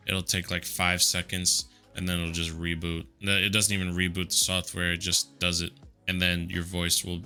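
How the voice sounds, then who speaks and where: A man with a deep voice walking through software settings in a relaxed, conversational way, with a headset mic. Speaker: tutorial host. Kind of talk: casual screen-recorded software tutorial.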